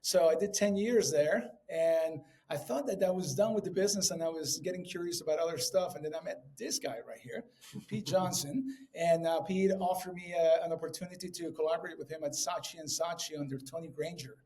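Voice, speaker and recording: Colombian-born man, lively storyteller. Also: a little high, a little fast. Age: middle-aged. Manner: animated storyteller, many fillers. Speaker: podcast guest, creative director. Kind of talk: casual interview talk.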